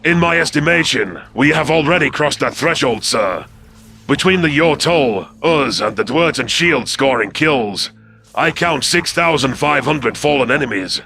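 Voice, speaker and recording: A man voicing a scrawny reptilian alien officer in a sci-fi audio story, gravelly, measured and formal, reporting analytically and with deference. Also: mid-range voice, lively intonation, pushed and bright.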